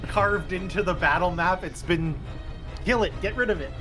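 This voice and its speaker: A man's voice: high-pitched, moderate pace. A man chatting casually as a tabletop game player, thinking aloud. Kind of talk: casual chat while playing. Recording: music under the voice. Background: music.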